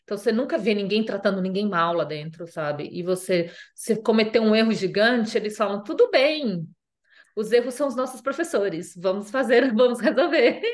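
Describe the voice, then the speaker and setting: A woman's voice: mid-range voice, expressive, normal speed. A woman from Brazil with a Brazilian Portuguese accent, chatting casually as an interview guest, full of fillers and repeated phrases.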